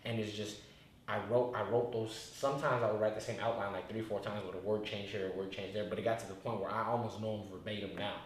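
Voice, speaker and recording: A man's voice: fairly low pitch, steady tone, fast. A young man in his twenties, a rapper talking through his story in a laid-back, rambling way, with many restarts. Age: 20s. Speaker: rapper, interview guest. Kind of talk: casual reflective interview talk. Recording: very echoey room, faint noise.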